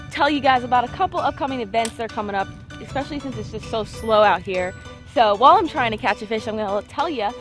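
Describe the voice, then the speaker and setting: A young American woman casually narrating a fishing vlog in an informal, slangy way from a small boat outdoors. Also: a little high. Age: young adult. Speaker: fishing show host.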